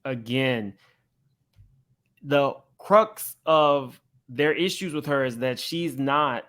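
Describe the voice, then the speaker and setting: A man giving gossip commentary as he reacts to clips, spelling out his points in a measured, deliberate way. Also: medium pitch, animated, fairly bright tone.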